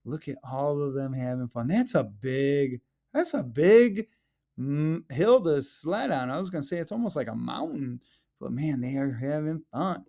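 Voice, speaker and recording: Male children's read-aloud voice: soft-edged mellow tone, very expressive melody, playful school-educator delivery with exaggerated questions. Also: fairly high pitch, a little slow, gravelly. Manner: animated, playful, exaggerated questions and exclamations, addressing children directly. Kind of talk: picture-book read-aloud for young children.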